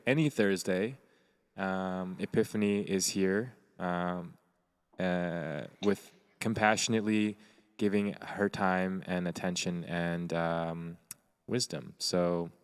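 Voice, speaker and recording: A man's voice: fairly low pitch, clear, resonant tone. A man co-hosting a casual live stream, talking loosely with many fillers and reading viewer comments aloud. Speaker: co-host and co-producer.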